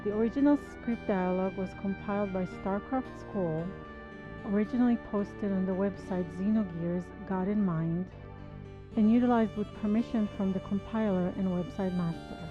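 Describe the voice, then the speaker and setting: A woman reads the writing credits in a plain, even manner. The recording sounds dull and lo-fi, with music clearly behind her. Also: medium pitch, moderate pace. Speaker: credits reader. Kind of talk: reading credits.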